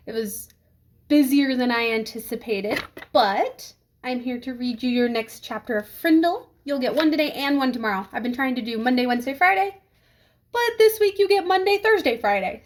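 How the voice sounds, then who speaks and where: A woman reading a children's book aloud with expressive storytelling phrasing. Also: fairly high pitch.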